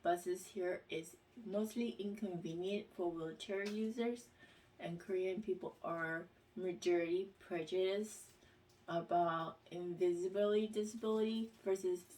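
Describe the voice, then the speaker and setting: A woman vlogger reads questions aloud and answers them in a casual, halting way, with plenty of pauses and fillers. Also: fairly low pitch, steady tone, darkish tone, far from the mic, rough recording. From United States.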